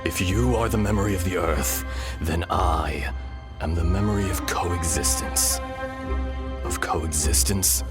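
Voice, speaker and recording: A man voicing the calm, weary, benevolent spirit of an ancient dragon in a video game, speaking in a solemn, measured, poetic way. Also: low voice. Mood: solemn, firm. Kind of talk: dramatic video game voice acting. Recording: loud game music under the voice.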